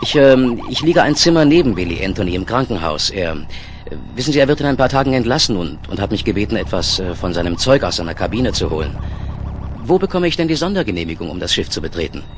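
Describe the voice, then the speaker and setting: An actor playing a crafty, daring, smooth-talking photo reporter in a 1970s German radio play. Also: male voice, on the low side, very animated, fast talker, a little hiss or hum. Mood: hesitant, wheedling.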